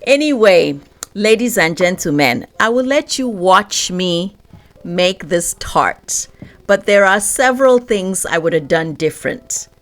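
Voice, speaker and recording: A woman's voice: on the low side, animated, a little slow. A woman vlogger chatting casually through a baking tutorial in her home kitchen, laughing often between steps.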